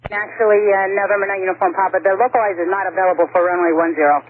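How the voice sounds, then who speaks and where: A woman's voice: mid-range voice, fairly even intonation, very fast, phone-quality sound. A woman working as an air traffic controller gives procedural instructions over aviation radio.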